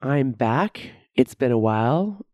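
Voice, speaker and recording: Mellow-voiced woman host with a head cold. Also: extremely low pitch, animated, slow pace, no room sound, studio quality. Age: about 50. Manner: conversational, often tags on "right?". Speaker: podcast host and coach. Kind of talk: solo podcast monologue. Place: recording studio.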